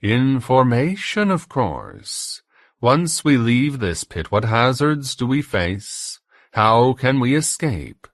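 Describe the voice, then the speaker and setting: A man voicing a cruel, educated German interrogator from a novel, with a German accent and a slow, coldly commanding manner. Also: medium pitch, big pitch swings, dry recording, clean studio sound, pitched above the performer's own voice. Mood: coldly demanding.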